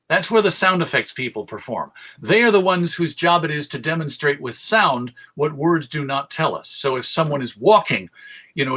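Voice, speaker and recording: A man's voice: a little high, bright, forceful tone. A man giving a history talk as presenter, telling it like a story in an emphatic, conversational way. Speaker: presenter, radio show host. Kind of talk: illustrated history talk to an audience.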